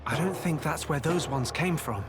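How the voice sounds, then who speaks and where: A man's voice: on the high side, normal speed, music in the background, natural voice. A man playing an earnest, thoughtful young hero in a video game, plain-spoken and determined. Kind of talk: video game cutscene acting.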